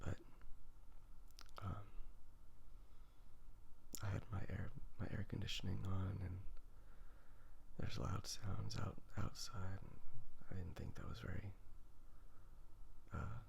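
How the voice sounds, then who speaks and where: A man talks very quietly in a low voice full of crackling fry, often slipping toward a whisper. He rambles in a halting, musing, self-deprecating way, like casual ASMR chat. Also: level tone. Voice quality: hushed, breathy, half-whispered. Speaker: host of an ASMR drawing channel. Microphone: phone recording.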